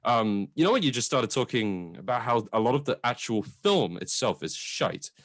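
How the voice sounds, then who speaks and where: A man's voice: medium pitch, big pitch swings, moderate pace. A man co-hosting a film review show, debating in a casual, argumentative and informal way. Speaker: film review podcast co-host.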